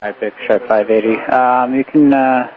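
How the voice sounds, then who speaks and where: A man's voice: medium pitch, fairly even intonation, moderate pace. A man with an American accent working as a tower air traffic controller, giving clipped procedural calls over radio. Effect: aviation radio transmission. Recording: muffled, faintly noisy aviation radio. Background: faint radio noise.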